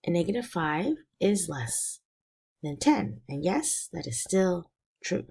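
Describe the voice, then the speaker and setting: A woman teacher walks through a math lesson patiently, one step at a time. Her voice is low, raspy and gravelly from a cold. Her pitch still moves up and down a lot as she explains. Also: very animated, takes it slowly, a little mellow. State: hoarse from illness.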